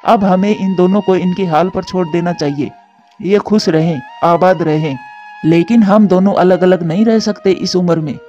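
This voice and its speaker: A man plays a weary old cartoon father bird, mellow and unusually high for a male. He laments plaintively, full of disappointment. The audio is dull and lo-fi, with music beneath. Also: normal speed, lightly acted. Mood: sad resolve. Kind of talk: Hindi cartoon voice acting.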